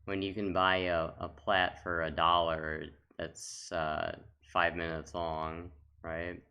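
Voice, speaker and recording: A man's voice: deep voice, flat delivery, slow, pushed and bright, smooth. A man giving casual, off-the-cuff commentary as a gaming video host, talking in a rambling, reminiscing way.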